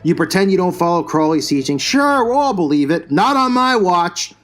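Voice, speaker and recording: A man's voice: sits high, very animated, moderate pace, a bit bright. A man hosting his own channel, delivering a combative, scornful religious commentary rant. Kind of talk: religious commentary rant over news clips.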